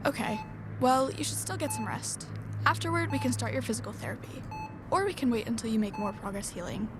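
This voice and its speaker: A woman acting in an audio drama as a matter-of-fact hospital caregiver, calmly explaining things to a patient. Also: a little high, brisk, lightly acted. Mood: calm, reassuring. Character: matter-of-fact female hospital nurse or doctor. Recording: noisy background ambience.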